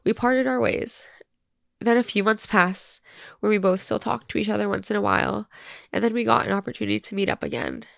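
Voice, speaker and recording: A woman's voice: mid-range voice, gravelly, dry recording, clean studio sound. Tearful young woman reading a letter. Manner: tearful, halting, many fillers. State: crying and congested. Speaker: podcast host. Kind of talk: reading a personal letter aloud.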